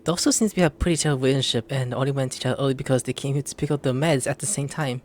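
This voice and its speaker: A man's voice: medium pitch, steady tone, rapid-fire, darkish tone. Male gaming host: casual running commentary, faint background noise. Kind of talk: casual gameplay commentary, reading lines along.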